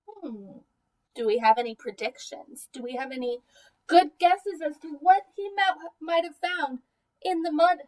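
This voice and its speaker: High-pitched woman reading to young children. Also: distant mic, low-quality recording. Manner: expressive, deliberate teacher talk aimed at young children. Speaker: teacher reading a picture book aloud to children. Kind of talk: children's read-aloud with questions to the listeners.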